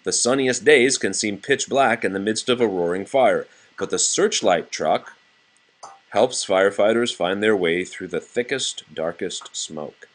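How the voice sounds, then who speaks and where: A man's voice: on the low side, a little slow. A man reading a nonfiction children's book aloud to a child in a plain, explanatory way.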